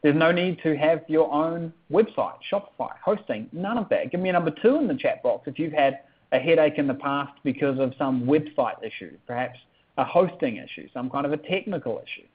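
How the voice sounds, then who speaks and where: A man's voice: a little high, animated, a little fast, husky. A man in his thirties with a New Zealand accent, hosting an upbeat sales webinar and often tagging lines with "okay?". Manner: upbeat, keeps asking for chat replies, tags lines with "okay?". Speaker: webinar presenter. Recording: tinny phone-like audio.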